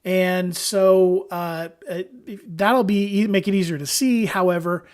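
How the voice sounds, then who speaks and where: A hoarse-voiced man leads a relaxed software webinar from his home, speaking in an easygoing, upbeat way. He addresses his viewers directly, like a friendly trainer on a livestream. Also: sits high, takes it slowly. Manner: chatty and upbeat, talks straight to viewers. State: hoarse from allergies. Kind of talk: casual live-streamed tutorial.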